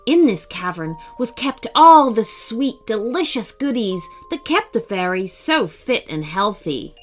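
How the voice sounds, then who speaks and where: A woman narrating a scripted children's bedtime story in an expressive storyteller's manner. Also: medium pitch, a little slow. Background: faint music.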